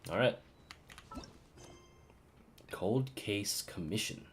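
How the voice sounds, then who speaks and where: A man with a very low voice talks his way through a casual game stream. His pace is unhurried and his pitch moves around expressively. He thinks aloud, half to himself, as a streamer does. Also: lively intonation, slight background noise. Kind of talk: casual live-stream commentary while gaming.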